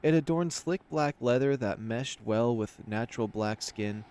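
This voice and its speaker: A man's voice: medium pitch, measured pace, a little mellow. Man reading a visual novel aloud. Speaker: video game let's player.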